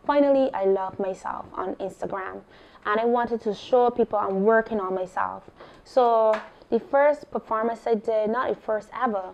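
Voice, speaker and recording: A woman's voice: a little high, lo-fi sound. A young woman from Barbados with a Barbadian accent, speaking into a handheld mic as the guest at a live artist talk in a small gallery. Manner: animated, expressive storytelling.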